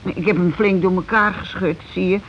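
An actress in a radio play as a shaken working-class housewife who speaks haltingly, repeats herself and falters mid-sentence. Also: female voice, lowish voice, steady tone, lightly acted. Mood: flustered, explaining. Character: shaken working-class housewife circling around grim news. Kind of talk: naturalistic radio play dialogue. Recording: muffled, noisy old radio broadcast recording.

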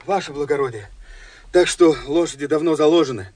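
A man's voice: a little high, brisk, a little mellow, no echo, close to an everyday voice. An actor playing a deferential common serviceman in a radio play, speaking in a folksy, respectful way. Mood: respectful urging. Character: deferential common soldier or coachman, urging departure.

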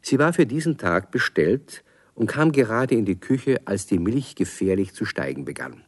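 A male narrator with a lowish voice tells a children's story. His delivery is scripted and expressive, with plenty of melody, recorded close and dry. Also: normal speed, no echo. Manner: expressive, clearly phrased.

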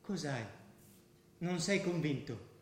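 A man's voice: a little high, lively intonation, slow, reverberant, a little hiss or hum. An actor playing a zealous young jihadist man, intense and insistent, in a theatrical radio drama. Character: zealous young jihadist man, pushing his friend toward war.

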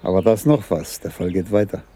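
An actor playing a terse, matter-of-fact senior police chief in a German radio crime drama. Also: male voice, sits low, quick pace, very noisy, lightly acted. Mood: grim.

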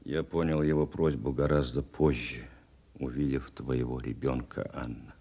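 A man's voice: very deep voice, steady tone, moderate pace, dark tone, breathy edge, heavily acted. An actor playing a reflective male war veteran, narrating his memories in first person in an audio drama, with guitar behind. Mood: wistful. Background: plucked guitar music.

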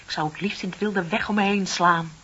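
An actress playing a bitter, lonely middle-aged office worker in a radio play, confiding and sardonic with dramatic emphasis. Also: female voice, mid-range voice, steady tone, fairly quick, sharp tone, husky. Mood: exasperated, fed up. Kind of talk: Dutch radio play acting. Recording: dull, hissy old radio recording.

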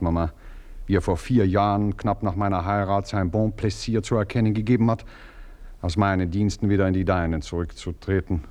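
A glib young baron, played by an actor answers his mother with smooth irony. His low voice slides easily into evasions, set in a radio play. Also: darkish tone, natural voice.

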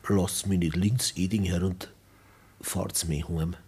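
A dying farmer, husky and slow. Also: male voice, low voice, fairly even intonation, warm tone, exaggerated voice. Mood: resigned. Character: dying Bavarian peasant man, calm, resigned. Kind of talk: 1960s radio drama acting.

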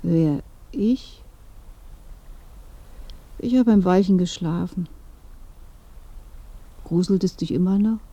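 A woman's voice: fairly low pitch, slow, pauses often, dry recording, muffled recording. An actress playing a dead old woman in a radio play, chatty, knowing and inquisitive. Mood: curious, drowsy. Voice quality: dark, creaky. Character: dead old woman, curious gossip in a grave. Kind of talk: radio drama dialogue.

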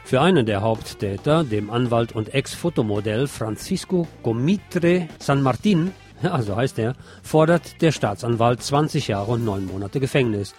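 A man reads scripted news as a radio presenter in a medium-pitched voice. He slips in wry asides between the facts. Music plays faintly behind him. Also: expressive, moderate pace, a little mellow.

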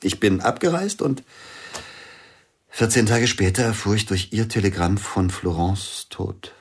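A man playing a grieving widowed concert pianist in a radio play, speaking in a subdued, husky voice. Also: fairly low pitch, unhurried. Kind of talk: radio drama acting.

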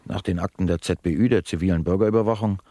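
A man's voice: sits low, fast, dark tone, dry recording, clean studio sound. A man playing a slick, unflappable talk-show host in a satirical radio play, addressing guests and listeners with formal presenter patter. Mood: businesslike, smooth.

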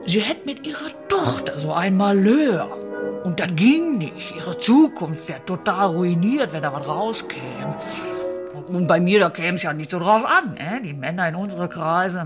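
An actress playing a shabby, wary working-class seamstress in a radio play, speaking colloquially and ungrammatically with hesitations and little tag questions. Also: female voice, on the low side. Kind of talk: German radio play acting.

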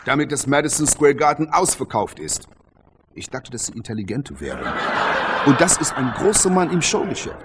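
Animated cocky champion boxer, played by a man. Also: mid-range voice, moderate pace, over a noisy crowd, very noisy, lightly acted. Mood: mocking. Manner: boastful, provocative, rhetorical. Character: cocky, quick-witted champion boxer, proud showman. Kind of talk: radio drama interview scene.

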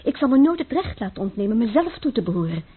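An actress playing a firm, independent young woman in a radio play, frank and precise, with a husky voice. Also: a little high, very animated, quick pace, heavily acted. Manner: assertive, precise. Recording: muffled, phone-like old radio recording.

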